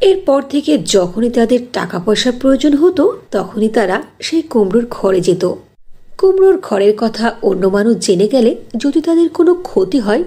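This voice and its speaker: A woman's voice: on the high side, warm tone, slightly rough. A woman narrator reading a scripted fairy tale in a storytelling style.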